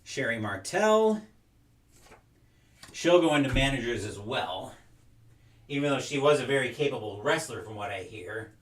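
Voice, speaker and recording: A man's voice: mid-range voice, lively intonation, moderate pace, long pauses, distant mic. Rambling man sorting cards, roomy sound. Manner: rambling, reads names aloud while sorting. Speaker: collector unboxing wrestling game cards. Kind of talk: casual unboxing chat.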